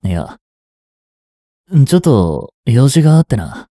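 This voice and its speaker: A man in his twenties playing a reluctant, guilt-ridden young hitman in an audio drama, softly spoken and halting. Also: medium pitch, big pitch swings, no echo, studio quality. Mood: feigned casual. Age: 20s. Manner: halting, subdued delivery. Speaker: voice actor. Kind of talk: Japanese audio drama monologue and dialogue.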